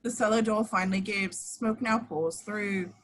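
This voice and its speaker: A woman's voice: medium pitch, normal speed, a bit bright, poor audio. A woman reading action descriptions as the narrator of a scripted table read.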